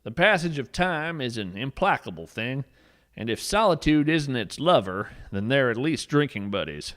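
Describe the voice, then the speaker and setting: A man's voice: medium pitch, very animated, normal speed, fairly bright tone. Folksy naturalist storyteller, played by a man, swooping intonation. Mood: musing. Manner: folksy, theatrical storyteller delivery. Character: folksy eccentric male naturalist adventurer, earnest and whimsical. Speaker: podcast host and storyteller. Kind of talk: scripted fiction podcast narration.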